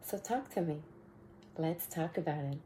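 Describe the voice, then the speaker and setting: A woman with a raspy voice hosting a motivational podcast, talking casually and mentoring her listeners, often repeating phrases. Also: sits low, lively intonation, normal speed. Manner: warm mentoring tone, repeats phrases, bursts of laughter. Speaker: podcast host.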